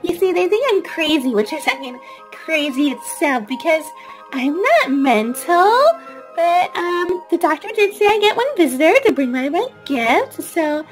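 A woman's voice: high voice, lively intonation, a little slow, soft background music, low-quality recording. A woman voicing a vain, cheerful young woman doll in a children's toy story, perky and chatty. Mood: sheepish, chatty.

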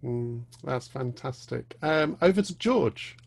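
A man's voice: medium pitch, lively intonation, slow, creaky. A man in his forties moderating a video call panel from a living room, friendly and brief in his handovers. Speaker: panel host. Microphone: video call webcam.

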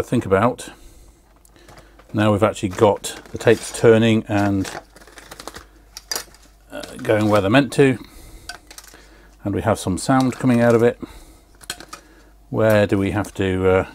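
A man's voice: lowish voice, measured pace, pauses often, darkish tone, slight background noise. A middle-aged man talking casually and methodically through a hands-on repair, step by step, at an indoor workbench. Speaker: repair video host. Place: indoor workshop bench.

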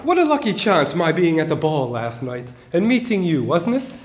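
An actor playing a gallant, dutiful English diplomatic courier in a period stage play, formal and courtly. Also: male voice, fairly high pitch, sing-song, normal speed. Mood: smitten. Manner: courtly, formal, clipped replies. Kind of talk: theatrical period stage acting. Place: theater stage, indoors. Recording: echoey stage hall, hissy background noise.

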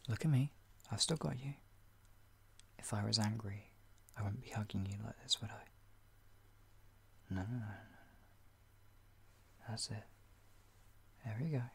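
A young man with a low, gravelly voice speaks softly and slowly, often dropping into a whisper. His manner is gentle and steadying throughout, with a faint hiss behind him. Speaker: comforting roleplay voice actor. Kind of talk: whispered comfort roleplay.

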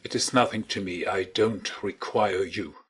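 A man voicing a stern, reluctant gentleman scientist in a dramatic audiobook, cold, curt and controlled. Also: low voice, fairly even intonation, measured pace, slightly rough, exaggerated voice.

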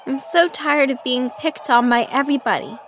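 A woman voicing a lonely, gentle young duckling in a children's cartoon, earnest and plaintive. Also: high voice, normal speed, a little hiss or hum. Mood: hurt, sad. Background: music.

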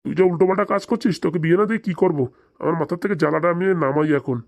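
A man's voice: on the high side, rapid-fire, gravelly, dry recording. A man playing a stern, hectoring father of a grown son in a comic cartoon. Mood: stern, exasperated. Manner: hectoring, rhetorical questions, stern.

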